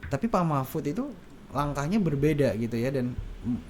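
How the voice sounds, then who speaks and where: A man's voice: fairly high pitch, big pitch swings, moderate pace, a little hiss or hum. A man with a Madurese accent hosting a casual radio interview in a chatty, affirming way. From Madura, Indonesia. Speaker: radio talk show host.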